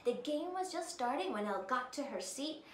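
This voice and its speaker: High, very animated woman storyteller. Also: moderate pace. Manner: expressive, theatrical. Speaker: children's storyteller. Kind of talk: dramatic picture-book read-aloud. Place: living room by a fireplace. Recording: roomy, slightly distant, faint noise.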